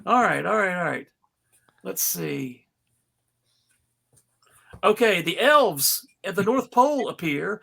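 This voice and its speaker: A panelist reading a story aloud to a group in a casual, amused way, halting and breaking into laughter. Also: mid-range voice, animated, vocal fry, sharp tone, slightly rough. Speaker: panel reader reading fan fiction aloud.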